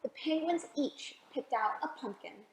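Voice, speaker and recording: A woman reading a picture book aloud for a children's storytime, expressive and often asking the listeners questions. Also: high voice, unhurried, roomy sound, slight background noise, poor audio. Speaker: library storytime reader.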